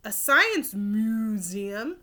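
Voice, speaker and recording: A woman's voice: mid-range voice, sing-song, drawn-out words, pushed and bright, exaggerated voice. A woman voicing a headstrong, plaintive female cow in a children's storybook, speaking emphatically. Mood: wailing, indignant. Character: headstrong female cow, wailing and grumbling about the moon.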